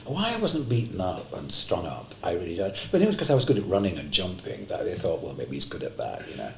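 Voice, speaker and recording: Man with low, animated voice, self-deprecating, recorded in a roomy space. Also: fast. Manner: self-mocking, lively anecdotes. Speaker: actor. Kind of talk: reminiscing interview.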